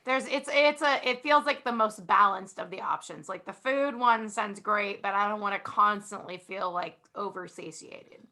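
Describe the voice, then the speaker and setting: A woman's voice: medium pitch, normal speed, hardly any pauses, sharp tone. A woman chatting casually and candidly as a guest in a group podcast, speaking informally.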